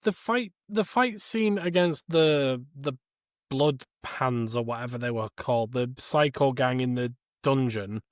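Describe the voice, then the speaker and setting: A man co-hosting a podcast in casual film chat, halting and repeating phrases as he argues. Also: medium pitch, no room sound, studio quality. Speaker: podcast co-host.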